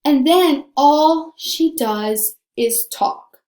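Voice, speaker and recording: High-voiced woman teaching young children. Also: drawn-out words, a little mellow, roomy sound. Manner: conversational, repeats points for emphasis, questioning pupils. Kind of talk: children's read-aloud lesson discussion.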